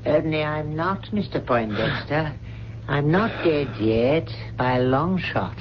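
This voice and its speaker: An actor playing a stern, loyal old family butler in a 1970s radio drama, speaking in a grave, measured, formal way. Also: male voice, fairly high pitch, lively intonation. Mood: defiant. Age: older. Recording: muffled, noisy old radio broadcast.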